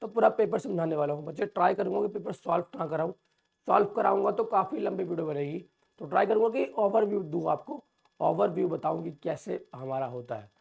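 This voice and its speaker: A man with a sore, hoarse throat, walking students through an exam paper and calling them children. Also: high voice, brisk, a little mellow, highs cut off. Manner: addresses viewers as children, reassuring. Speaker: teacher. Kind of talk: exam overview lesson.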